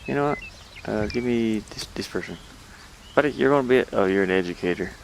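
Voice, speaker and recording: A man's voice: lowish voice, fairly quick, creaky, slight background noise. A man giving casual gameplay commentary, puzzled and muttering to himself as he thinks aloud. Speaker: gamer playing and commenting on a game.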